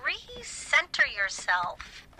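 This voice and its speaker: A woman's voice: high-pitched, huge pitch swings, drawn-out words, bright, forceful tone, slight background noise, full character voice. An actress in an audio drama playing a fretful, smothering middle-aged mother with a sugary, hesitant, disapproving manner. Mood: fretful, coaxing. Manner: hesitant, cloying, passive-aggressive.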